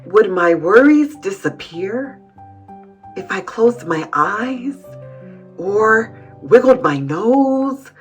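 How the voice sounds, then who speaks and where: A woman's voice: medium pitch, lively intonation, drawn-out words, faint music behind, voice pushed up. A woman voicing an earnest, worried young boy who ponders his thoughts in a storybook read-aloud. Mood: wondering.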